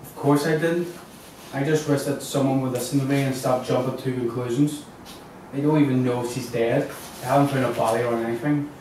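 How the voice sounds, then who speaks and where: Anxious client in a detective thriller, male actor: mid-pitched voice, table read, distant mic, heavy room echo. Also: fairly even intonation, brisk, a little mellow, lightly acted. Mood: worried, defensive. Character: Paul Watts, worried well-groomed man seeking missing partner. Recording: very roomy, distant mic, faint noise.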